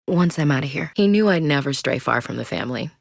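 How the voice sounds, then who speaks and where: A tough marine, low voice. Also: female voice, expressive, quick pace, vocal fry, dry recording, exaggerated voice. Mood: steady. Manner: blunt, soldierly, dry wit. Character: tough young female marine soldier. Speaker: squad soldier. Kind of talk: video game voice acting.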